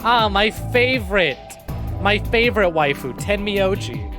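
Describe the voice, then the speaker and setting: A man's voice: sits high, expressive, unhurried. A male streamer reading a visual novel aloud, with playful, wry asides. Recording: game music behind the voice. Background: clear game music.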